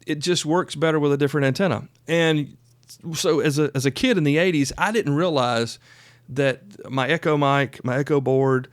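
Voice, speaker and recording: A man's voice: on the high side, moderate pace, no echo. Man in his fifties hosting a dry-sounding podcast. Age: 50s. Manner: folksy, chatty, emphatic, given to asides. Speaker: podcast host. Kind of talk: casual solo podcast talk.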